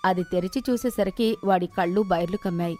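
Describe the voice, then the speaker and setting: A woman's voice: mid-range voice, fairly quick, warm tone, faint music behind. A woman giving scripted narration of a moral story as its storyteller.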